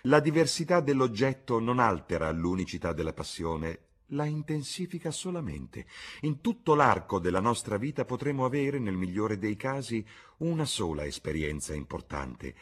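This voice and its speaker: A man's voice: on the low side, big pitch swings, moderate pace, husky. A man voicing an urbane, cynical aristocratic lord who pontificates in epigrams, as part of an audiobook. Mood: philosophising.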